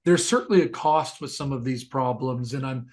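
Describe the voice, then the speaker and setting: A man hosting an interview, upbeat and affirming toward his guest. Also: medium pitch. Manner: upbeat, affirming, quick to laugh. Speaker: interview host.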